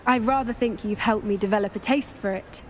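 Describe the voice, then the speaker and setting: An actress with a British accent playing a plucky, earnest teenage schoolgirl witch in a video game, candid and gently spirited. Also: mid-range voice, brisk, slight background noise, lightly acted. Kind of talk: dramatic video game voice acting.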